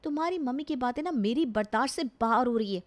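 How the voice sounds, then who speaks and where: A woman acts a young city daughter-in-law in a cartoon family drama. The voice sits fairly high. She sounds dutiful and earnest, with a polite but plaintive, put-upon manner. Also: lively intonation, fast, lightly acted. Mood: aggrieved. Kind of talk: Hindi animated family story acting.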